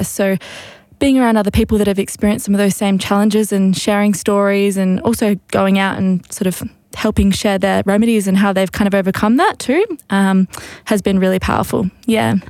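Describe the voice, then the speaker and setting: A woman's voice: mid-range voice, fairly even intonation, brisk, darkish tone, no room sound. Woman project worker, um-filled talk. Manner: hesitant, many ums and fillers. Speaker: project coordinator. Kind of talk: casual radio interview.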